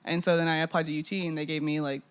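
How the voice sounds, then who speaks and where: A young woman from Texas, guesting on a casual podcast. She talks in a lively, laughing way and swears freely. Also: deep voice, very fast, clear, resonant tone. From Austin, Texas.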